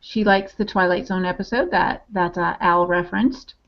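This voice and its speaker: A woman's voice: on the low side, fairly even intonation, normal speed. Casual woman co-host, slightly muffled audio. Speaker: podcast co-host relaying chat-room comments. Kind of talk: casual chat. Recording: dull lo-fi online-call audio.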